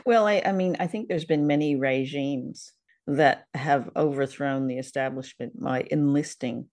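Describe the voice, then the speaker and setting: Gravelly-edged woman hosting a podcast. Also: rumbling low, moderate pace, a little mellow. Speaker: podcast host. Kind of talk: casual discussion of radio drama.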